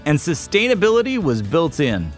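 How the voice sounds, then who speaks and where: A man's voice: fairly high pitch, wildly swooping pitch, moderate pace, soft background music. A man reading scripted, informative narration as the host of a documentary series.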